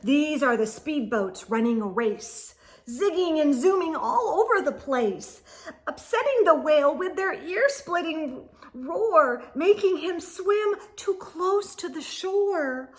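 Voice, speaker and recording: A woman storyteller reading a children's picture book aloud in a dramatic, expressive way for young listeners. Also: sits high, takes it slowly. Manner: dramatic, drops into whispers, addresses young listeners.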